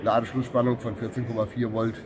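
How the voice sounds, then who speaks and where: A middle-aged man, a service technician, calmly explaining products in an interview at a trade fair booth. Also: mid-range voice, fast talker, over music. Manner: calm, technical, matter-of-fact explaining. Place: busy exhibition hall booth. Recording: trade hall with clear crowd noise behind.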